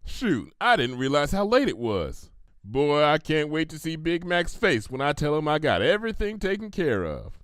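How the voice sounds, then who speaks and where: A man's voice: on the high side, sing-song, moderate pace, fairly bright tone, smooth, no echo, studio quality, pitched above the performer's own voice. A man voicing an earnest, plain-spoken female farm pony. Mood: pleased. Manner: folksy, emphatic.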